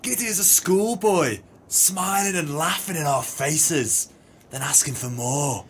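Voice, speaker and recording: A man's voice: on the high side, unhurried, lightly acted. Bitter scheming swindler, theatrical played by a man, noisy backdrop. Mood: despairing outrage. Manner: theatrical, grandiloquent. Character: bitter, scheming male swindler, eloquently exasperated. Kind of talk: audio play acting.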